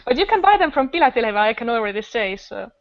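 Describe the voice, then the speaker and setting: Female festival organiser, clear highish voice, rambling and keen podcast chat. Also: very animated, fast, no room sound. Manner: enthusiastic, rambling. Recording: dull lo-fi podcast recording.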